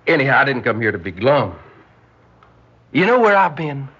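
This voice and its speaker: A young drifter, a folksy smooth talker with a scheming streak. His bright voice swings widely in pitch as he draws out his phrases. It sounds like an old, muffled radio drama. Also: sing-song, normal speed. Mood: boastful, cheerful. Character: smooth-talking, scheming young Southern man, a returned drifter. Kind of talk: vintage-style radio drama acting. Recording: dull lo-fi radio recording, faint noise.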